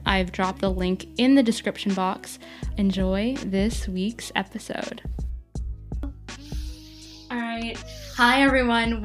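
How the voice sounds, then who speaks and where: A woman hosting a podcast interview, opening with an upbeat scripted introduction before chatting with her guest. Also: medium pitch. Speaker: podcast host.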